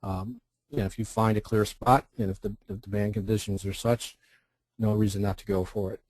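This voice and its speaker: A man's voice: lowish voice, fairly even intonation, normal speed, darkish tone, no room sound. An American man giving an informal instructional presentation, explaining things by posing questions and then answering them. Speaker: presenter, contest representative.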